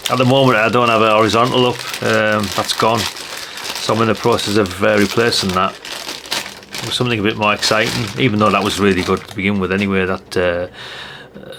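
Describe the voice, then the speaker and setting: A man's voice: lowish voice, noisy background. An older man chatting in a rambling way, thinking aloud through a casual hands-on product review. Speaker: radio hobbyist reviewer.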